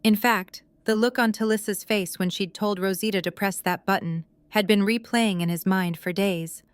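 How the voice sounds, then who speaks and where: A woman narrates scripted dramatic fiction in an audio drama. Her voice is smooth and clear at a middle pitch, and the recording is very dry. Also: steady tone, normal speed, dry recording.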